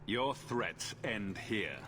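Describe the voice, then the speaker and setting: Wry, animated adventurer hero. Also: male voice, on the low side, extremely slow, noisy background. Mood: menacing. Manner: wry, questioning. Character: dry-witted male adventurer hero, helpful but bold. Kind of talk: video game cutscene acting.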